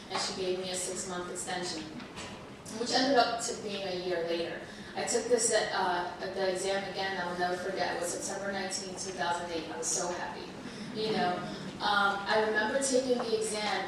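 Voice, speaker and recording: A woman whose first language is Spanish, a bit under the weather, telling her story to an audience in an earnest, halting way with many restarts. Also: mid-range voice. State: under the weather, slight cold. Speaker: panel speaker. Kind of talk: personal talk to an audience. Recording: echoey hall, far from mic, noisy.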